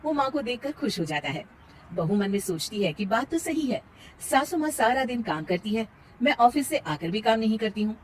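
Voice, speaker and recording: A woman's voice: fairly high pitch, big pitch swings, moderate pace, slight background noise. A woman reading scripted narration for a cartoon moral story.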